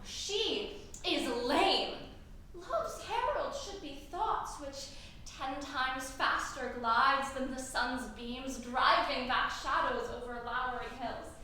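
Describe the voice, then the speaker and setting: A woman's voice: high voice, sharp tone, slight background noise, heavily acted. A woman playing an impatient lovestruck girl. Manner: eager, pleading, declaiming verse. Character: impatient young girl in love. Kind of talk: stage Shakespeare acting. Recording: echoey hall from far off.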